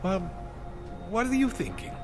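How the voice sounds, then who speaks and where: Game role: slick, jittery male underground tech. Swooping, animated pitch, wheedling, music behind. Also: measured pace. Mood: appraising. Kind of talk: video game cutscene acting.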